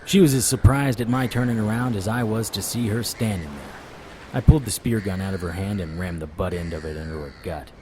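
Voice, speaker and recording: A man's voice: on the low side, brisk, dark tone. A man narrating an audio drama in the first person as a sardonic, world-weary vampire hunter, in a hard-boiled style. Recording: audible background noise.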